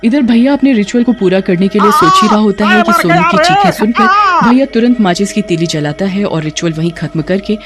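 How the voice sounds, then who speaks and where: A male narrator tells a horror story in a dramatic, suspenseful way. His voice sits high for a man. Music plays clearly under him. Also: very animated, a little fast, hardly any pauses.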